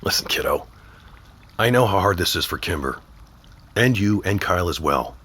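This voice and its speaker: An actor playing a middle-aged small-town sheriff and father in an audio drama, wry, measured and protective in his talk. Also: sits low, animated, fairly bright tone, husky, heavily acted. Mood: grave, gentle. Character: small-town sheriff dad, teasing yet protective and steady. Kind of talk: naturalistic audio drama acting.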